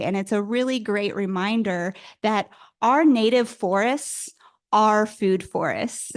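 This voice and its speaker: A woman's voice: medium pitch, clear, resonant tone. A woman hosting an event, giving a welcoming, appreciative introduction speech for a speaker.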